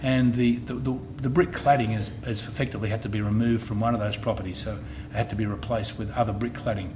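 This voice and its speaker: A middle-aged man with an Australian accent, an insurance executive giving a presentation at a public meeting, measured and often restarting his phrases. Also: fairly low pitch, steady tone. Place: meeting hall. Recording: noisy meeting room recording.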